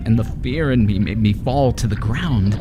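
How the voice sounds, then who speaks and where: An actor playing a terrified, guilt-ridden man sliding into madness in an old-time radio horror drama, his monologue anguished, halting and melodramatic. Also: lowish voice, natural voice. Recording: heavy noise under the voice.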